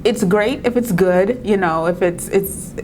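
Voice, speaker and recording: A woman's voice: medium pitch, a little slow, a little mellow, slight background noise. A woman from Trinidad and Tobago with a Trinidadian accent that has some New York in it, talking to the camera in an encouraging way, often laughing and restarting her words. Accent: Trinidadian mixed with New York. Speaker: video creator giving tips. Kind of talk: casual talk to camera.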